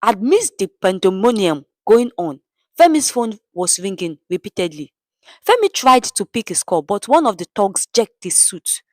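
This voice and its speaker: Animated Nigerian woman storyteller. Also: mid-range voice, normal speed, dry recording, studio quality. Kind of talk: dramatic scripted narration.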